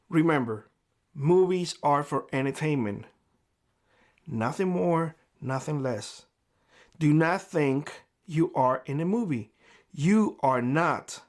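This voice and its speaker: A man with a fairly high voice talks straight to camera in a vlog. His voice is hoarse, as if he is losing it. His advice is blunt and lecturing. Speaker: prepper YouTuber. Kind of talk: direct-to-camera vlog monologue. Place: homestead.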